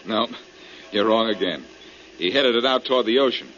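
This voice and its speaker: A man's voice: fairly low pitch, normal speed, fairly bright tone, natural voice. A man playing a cool, clever amateur detective in an old radio mystery, wry and self-assured. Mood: explaining. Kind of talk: 1940s radio crime drama acting. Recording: muffled, noisy old-time radio recording.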